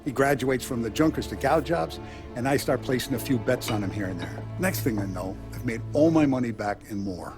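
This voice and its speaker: An actor playing a shrewd mob boss who gives orders in a measured, authoritative way. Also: male voice, medium pitch, animated, close to an everyday voice. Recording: game cutscene with music.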